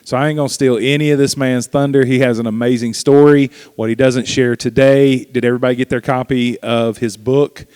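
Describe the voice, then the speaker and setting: A man's voice: medium pitch, fairly even intonation, fairly quick, hardly any pauses, a little mellow, clear, resonant tone, no echo, clean studio sound. A man hosting an event, giving an informal, folksy introduction of a guest speaker in colloquial words.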